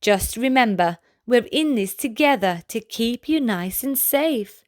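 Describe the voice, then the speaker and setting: A woman narrates a children's read-aloud in a fairly high voice. Her delivery is very slow and deliberate, gently pitched at little listeners. The sound is dry, with hardly any room echo. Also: animated. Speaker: children's storybook reader, channel host.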